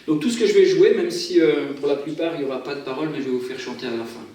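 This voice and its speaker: Relaxed French pastor in echoey church. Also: male voice, a little high, very fast. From France. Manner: relaxed, chatty, self-deprecating, many hesitations. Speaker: pastor and guitarist. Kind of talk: informal church talk introducing music. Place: church hall. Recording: very echoey hall, far from the mic.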